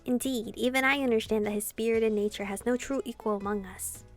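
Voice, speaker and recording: A woman streamer reading game dialogue aloud and reacting with casual, expressive commentary. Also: fairly high pitch, fast talker. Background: game music.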